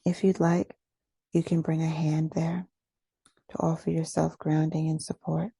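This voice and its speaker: A woman leading a guided meditation in a soft, breathy voice, soothing and unhurried, pausing between short phrases. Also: sits low, little pitch movement, no echo. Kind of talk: guided meditation, gentle scripted instruction.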